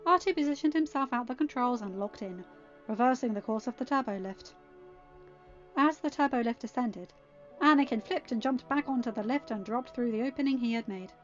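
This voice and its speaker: A woman reading a fan fiction story aloud as an amateur narrator, in an expressive scripted style. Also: on the high side, brisk. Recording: dull, band-limited audio with background music. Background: quiet music.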